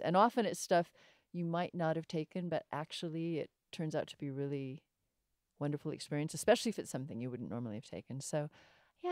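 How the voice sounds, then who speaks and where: A woman's voice: sits low, a little mellow, no room sound, clean studio sound. A woman actor talking casually in an interview, telling anecdotes in a reflective, conversational way.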